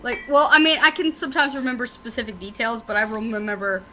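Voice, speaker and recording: A woman with a fairly high voice chats casually as a podcast panelist, over faint background noise. She is slangy and sweary, peppering her talk with "like". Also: lively intonation, fast talker, sharp tone, low-quality recording. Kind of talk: casual chat.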